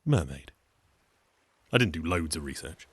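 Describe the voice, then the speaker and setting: A man's voice: extremely low pitch, very animated, unhurried, breathy edge, no room sound. A man with a British accent telling a scripted comic story in a wry, chatty way, full of asides. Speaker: storyteller and singer.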